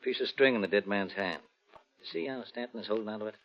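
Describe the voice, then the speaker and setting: An actor playing a confident, argumentative police investigator, punchy and needling, in 1940s radio detective drama style. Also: male voice, fairly low pitch, quick pace, close to an everyday voice. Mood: confident, intent. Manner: punchy, challenging. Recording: muffled vintage radio recording.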